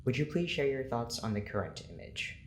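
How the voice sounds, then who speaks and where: A man's voice: mid-range voice, sing-song, a little fast, lightly acted. An actor playing a stern male scientist who runs an experiment, speaking formally and clinically in an audio drama. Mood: controlled, insistent. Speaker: experiment proctor. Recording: roomy echo, faint noise.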